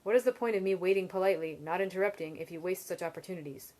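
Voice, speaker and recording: A sarcastic young male student who teases his friend with dry mockery, as a woman reading aloud voices him. Her voice stays low and a little louder than her narration. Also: racing, close to an everyday voice. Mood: teasing. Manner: sarcastic, teasing.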